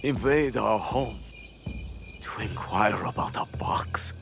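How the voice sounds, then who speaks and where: An actor playing a proud, weary, resigned rebel gang leader in a video game, with grave, formal delivery. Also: male voice, lowish voice, slow pace, vocal fry, background noise. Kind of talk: cinematic video game acting. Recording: background music under the voice.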